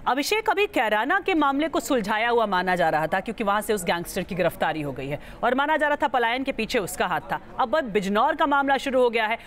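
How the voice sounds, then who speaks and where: A woman's voice: on the high side, big pitch swings, a little fast, few pauses, fairly bright tone. A woman presenting the news as an anchor.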